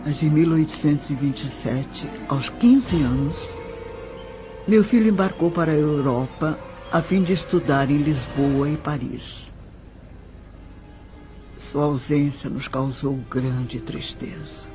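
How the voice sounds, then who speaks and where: A man acting as a sorrowful Brazilian woman of the 1800s, telling her life in a radio-play manner. The delivery is very slow and formal, the pitch fairly high for a male voice. A faint bed of music sits under the slightly muffled sound. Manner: slow, measured, formal recollection. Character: sorrowful widowed woman of 19th-century Rio recalling her life. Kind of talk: scripted audio drama monologue. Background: background music.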